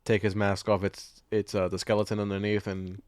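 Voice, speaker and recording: A man's voice: fairly low pitch, level tone, a little fast, a little mellow, no echo, studio quality. A young adult man co-hosting a podcast in casual movie chat, rambling, slangy and profane with a jokey manner. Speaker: podcast co-host.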